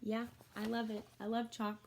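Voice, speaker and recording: A woman's voice: fairly high pitch, monotone, moderate pace, a little mellow. A woman schoolteacher reading a picture book aloud to children, expressively, with chatty explanatory asides to her young listeners.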